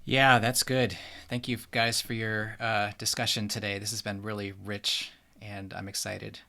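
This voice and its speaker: A man's voice: fairly low pitch, flat delivery, measured pace, gravelly, pushed and bright. American man hosting a casual podcast. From United States. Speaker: podcast host.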